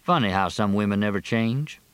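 A man's voice: on the low side, fairly quick, clear, resonant tone, no echo, close to an everyday voice. A man voicing a small-town sheriff, wry and plain-spoken, in a novel reading. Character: dry-humored, folksy small-town Southern sheriff, long married.

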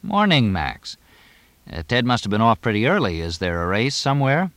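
Man playing a wry, lively horse owner. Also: mid-range voice, moderate pace, no room sound, pitched above the performer's own voice. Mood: curious, wry. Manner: dry, inquisitive, bantering. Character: wry, teasing male horse owner on the telephone. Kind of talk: audiobook character dialogue.

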